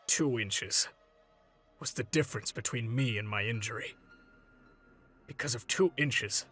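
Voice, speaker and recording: A man's voice: sits high, creaky, sharp tone, full character voice. A man voicing a big, middle-aged hero in a comic dub, exasperated and theatrically emphatic. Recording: music behind.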